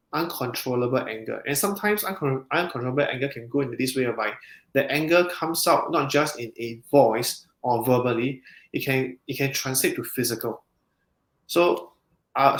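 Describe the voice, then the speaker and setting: A man, a counselling psychologist, explaining in a measured way in a podcast interview, with frequent restarts. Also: mid-range voice, a bit bright. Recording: roomy echo.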